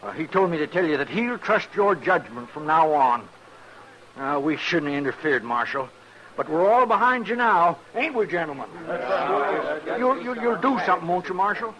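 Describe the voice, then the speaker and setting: Timid townsman, American, raised sharp voice, anxious, stammering, deferential, old-time Western radio. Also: fairly high pitch, lively intonation, moderate pace, low-quality recording. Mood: anxious, pleading. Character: nervous, pleading townsman begging the lawman's help. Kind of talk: 1950s Western radio drama acting.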